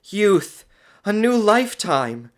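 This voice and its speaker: Man voicing a wild, high-pitched imperious vampire woman. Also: big pitch swings, slow pace, exaggerated voice. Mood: ecstatic. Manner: ecstatic, demanding, pleading, scornful. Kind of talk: melodramatic horror audiobook.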